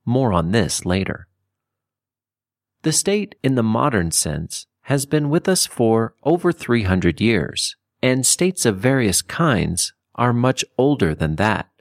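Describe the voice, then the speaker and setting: A man reading scripted nonfiction for an audiobook, with deliberate phrasing. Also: mid-range voice, big pitch swings, gravelly, no room sound, studio quality. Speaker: audiobook narrator.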